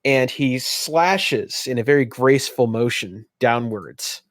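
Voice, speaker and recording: A woman's voice: very deep voice, lively intonation, slow, no room sound, studio quality. A woman playing a tabletop game, chatting casually and describing moves in an informal, tentative way.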